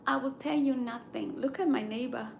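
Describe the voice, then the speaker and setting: A woman's voice: high voice, a little fast, creaky, background noise. A woman from East Africa chatting live with viewers, laughing often and teasing their guesses, with a sore throat. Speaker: livestream host. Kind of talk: casual live chat with viewers.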